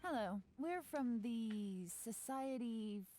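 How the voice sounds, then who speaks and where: An actress playing a poised, cunning investigator in a video game, smoothly polite in manner. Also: female voice, fairly high pitch, expressive, slow pace, mellow, a little hiss or hum. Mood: smooth, probing.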